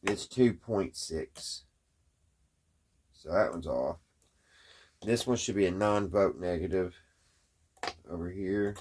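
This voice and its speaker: A man giving a casual hands-on demonstration to camera as a hobbyist presenter, talking in a folksy, halting way as he thinks aloud. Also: low voice, a little mellow, a little hiss or hum.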